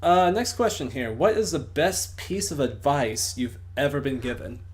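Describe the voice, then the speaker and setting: A man's voice: medium pitch, expressive, normal speed. A man hosting a casual interview over a voice call. He speaks earnestly and hands out motivational sayings. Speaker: interviewer, host. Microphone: computer mic on a voice call.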